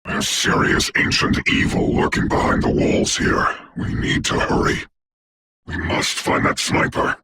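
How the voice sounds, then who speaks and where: A man playing a grave, commanding dark sorcerer for a video game, with a breathy rasp, formal grandiose diction and eerie distorted processing. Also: unhurried, very noisy. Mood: solemn. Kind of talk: video game hero voice lines.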